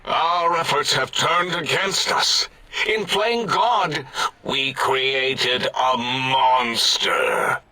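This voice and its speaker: A man voicing an anxious, melodramatic scientist brain in a machine in a video game, with a fretful, halting, histrionic manner. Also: medium pitch, lively intonation, sharp tone, full character voice, low-quality recording. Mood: dread. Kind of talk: theatrical comic video game acting.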